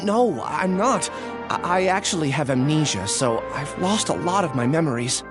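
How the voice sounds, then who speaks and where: A man's voice: a little high, animated, moderate pace, over music, lightly acted. A man playing an anxious, apologetic amnesiac scholar in a video game, hesitant and stammering. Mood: confused, apologetic.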